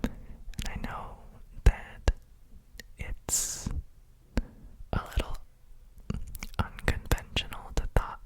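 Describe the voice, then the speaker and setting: Female ASMR guide: all whisper, extremely slow and unhurried, breathy and soothing, for sleep relaxation. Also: low-quality recording. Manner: gentle, soothing, unhurried with frequent pauses. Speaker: ASMR host guiding relaxation.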